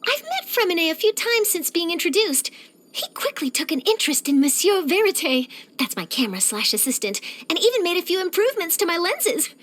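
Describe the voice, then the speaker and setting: A woman voicing an eager, nosy young female journalist in a video game, chatty, theatrical and expressive. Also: extremely high pitch, a little fast, full character voice. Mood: impressed, chatty. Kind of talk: animated game character voice lines.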